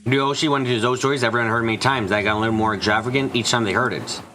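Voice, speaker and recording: A man's voice: medium pitch, fairly even intonation, racing, bright, forceful tone, a little hiss or hum. A man reading scripted story narration for a visual novel fan dub.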